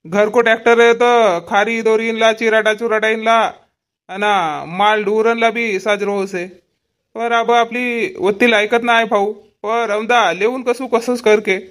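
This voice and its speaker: A man's voice: sits high, fairly even intonation, measured pace, fairly bright tone. A man voicing a modest, worrying village farmer and father in a cartoon comedy, rambling and folksy, with a Powari accent.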